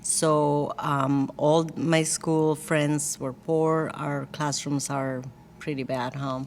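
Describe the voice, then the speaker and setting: A woman's voice: low voice, level tone, takes it slowly, a little mellow, slight background noise. A woman from Costa Rica with a Costa Rican Spanish accent, telling her personal story in a candid, chatty, lightly joking way as an interview guest. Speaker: guest, church worship team leader.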